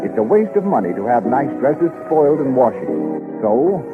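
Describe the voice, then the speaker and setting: A man's voice: mid-range voice, huge pitch swings, moderate pace. An American man announcing a 1930s radio show and its sponsor from a script. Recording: tinny 1930s radio recording with music.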